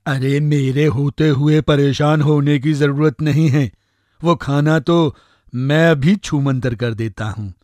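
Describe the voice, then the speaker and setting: A man voicing a friendly, confident magic eggplant in an animated story, chummy and reassuring. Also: a little high, takes it slowly, no room sound. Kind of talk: animated moral story voice acting.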